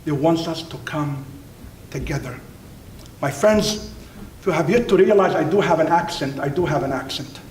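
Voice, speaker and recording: A man with a high voice and an Arabic accent delivers an inspirational religious address. He is emphatic and deliberate, repeating phrases for weight. The sound is roomy and picked up from a distance. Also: a little mellow. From Middle East, Palestinian Jordanian. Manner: emphatic, deliberate oratory with repetition and pauses. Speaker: imam and university teacher speaking at a dinner. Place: large dinner banquet room. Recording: roomy, distant mic, faint rumbling noise.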